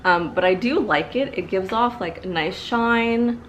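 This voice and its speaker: A woman's voice: mid-range voice, a little slow, a bit bright, slight background noise, low-quality recording. A young woman in her twenties, a little stuffy from allergies, chatting casually through a makeup review in her living room. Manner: chatty, many fillers and repeated words. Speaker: beauty vlogger. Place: living room, seated before a couch.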